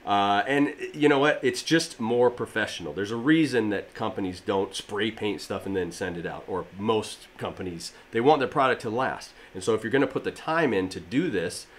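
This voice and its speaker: A man in his thirties with a slightly raspy voice, casually explaining a DIY project to camera in his home garage gym, with plenty of fillers. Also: fairly low pitch, normal speed, hardly any pauses. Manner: rambling, filler-heavy, friendly explainer. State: allergies. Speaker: fitness DIY channel host. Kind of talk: casual how-to talk to camera.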